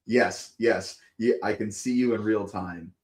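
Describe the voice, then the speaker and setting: A young man with a gravelly voice, co-hosting a casual live stream over a video call and bantering in an easygoing way. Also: fairly low pitch, measured pace, a little mellow. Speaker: co-host.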